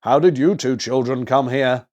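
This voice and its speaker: A man's voice: medium pitch, normal speed, a little mellow, muffled recording, lightly acted. A man voicing a merry, jolly old man with a hearty, jovial manner in a storybook reading. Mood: merry, welcoming.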